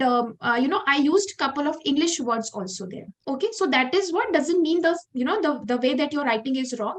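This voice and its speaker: A woman's voice: sits high, fairly bright tone. A woman with an Indian accent, a native Hindi speaker, teaches creative writing in an online class and keeps checking in with her students. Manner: chatty, checks in with "okay" and "clear for everyone".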